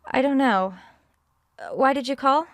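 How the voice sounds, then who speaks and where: A woman's voice: high-pitched, measured pace, dry recording. An actress playing an unsettled woman author alone in a haunted house, hesitant and distracted, in a horror audio drama. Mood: startled, evasive.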